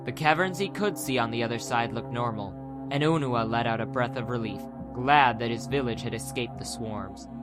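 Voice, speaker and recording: Male narrator for a dramatized adventure audiobook, mid-pitched, with music clearly underneath. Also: moderate pace.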